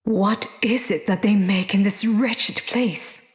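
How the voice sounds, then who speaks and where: An actress playing a fierce female sorceress warrior who hunts monsters, cold, measured and formal. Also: medium pitch, steady tone, roomy sound, lightly acted. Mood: menacing.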